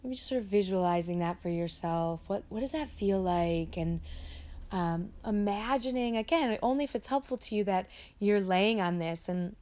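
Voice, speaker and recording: A woman teaching a guided meditation in an unscripted, gentle way, offering instructions as tentative invitations. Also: mid-range voice, moderate pace, dull audio. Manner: gentle, hesitant, invitational phrasing.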